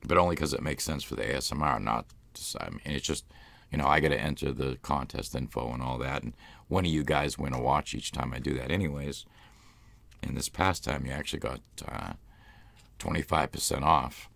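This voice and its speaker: A man's voice: rumbling low, normal speed, gravelly, fairly bright tone, no echo. A man with a Boston accent, hosting a live stream in loose, rambling casual chat. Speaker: ASMR channel host.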